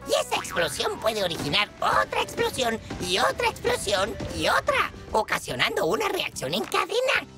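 A woman voicing a female fixie character explaining science to children with lively emphasis. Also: very high voice, moderate pace, gravelly, few pauses, exaggerated voice. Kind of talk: educational cartoon explainer. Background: children's music.

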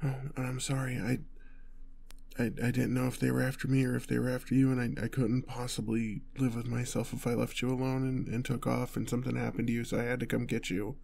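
A man's voice: mid-range voice, little pitch movement, quick pace, vocal fry, a little mellow. A man playing a protective, anxious boyfriend on the run, stammering and tender, in an intimate audio roleplay. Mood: hushed, soothing. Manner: stammering, urgent, tender reassurance. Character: panicked, protective boyfriend fleeing a gunman with his partner.

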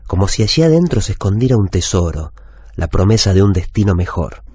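Low-voiced wistful Argentine bookshop owner. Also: male voice, sing-song, brisk, dark tone, slightly rough, no room sound, heavily acted. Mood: wistful, reflective. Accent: Argentine Rioplatense. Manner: reflective, storytelling, self-correcting. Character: wistful forty-year-old bookshop owner, routine-bound daydreamer. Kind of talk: first-person radio drama narration. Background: music bed.

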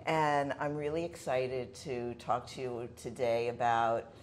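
A woman's voice: very deep voice, level tone, measured pace, a little hiss or hum. A middle-aged woman hosting an interview show, chatting eagerly with her guest in his living room and jumping in often. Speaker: show host. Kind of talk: friendly interview chat.

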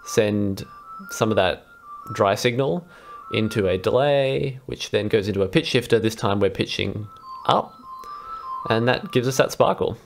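A man's voice: fairly low pitch, unhurried, gravelly. A young adult man casually talking through a music patch demonstration in a laid-back, informal way. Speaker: vlog host, electronic musician. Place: small room indoors at a computer. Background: synthesizer music.

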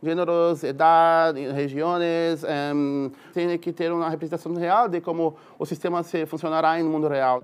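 A man in his forties with a Brazilian Portuguese accent, answering interview questions about technology haltingly, restarting phrases. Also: fairly high pitch, fairly even intonation, smooth. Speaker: tech expert. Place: room with brick wall.

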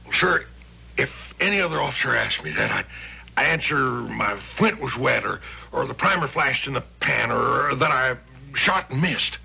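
Raspy, stammering, frightened sergeant. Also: male voice, medium pitch, expressive, normal speed, sharp tone, heavily acted, low-quality recording. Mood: frightened, shaken. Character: frightened, earnest male Revolutionary War army sergeant. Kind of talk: 1970s radio drama acting.

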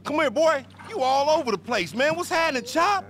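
A loud cocky video game hustler, high pitched man, slangy wisecracks, faint noise behind. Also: animated, a little fast. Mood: amused. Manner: boastful, slangy, teasing. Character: brash, loud, wisecracking male street hustler. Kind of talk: video game cutscene acting.

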